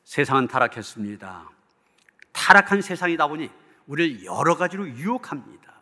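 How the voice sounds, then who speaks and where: Man, pastor, preaching, bright forceful voice, earnest rhetorical delivery, long reverb. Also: fairly high pitch, big pitch swings, moderate pace. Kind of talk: sermon preaching, closing in prayer. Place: church hall.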